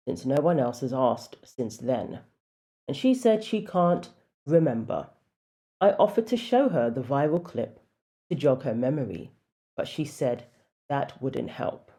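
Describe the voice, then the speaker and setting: A woman with a deep voice giving casual, opinionated commentary with wry asides. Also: dark tone.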